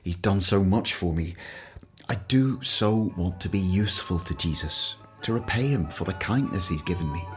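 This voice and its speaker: An actor playing a humble, self-doubting former tax collector in a radio play, earnest and hesitant. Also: male voice, deep voice, lively intonation, normal speed, music in the background.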